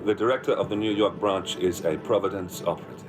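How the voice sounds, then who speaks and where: An actor playing a wary, determined field operative in a video game, terse and matter-of-fact with a dry edge. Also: male voice, low-pitched, fast, natural voice. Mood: grim. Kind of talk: cinematic game dialogue. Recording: loud music behind the voice.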